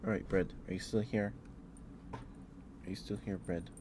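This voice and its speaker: Man, low-pitched, slow-paced, ASMR streamer, affectionate cooing, frequent whispering, close mic, clear background noise. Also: steady tone. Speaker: ASMR livestreamer. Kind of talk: ASMR, casual livestream chat with much whispering.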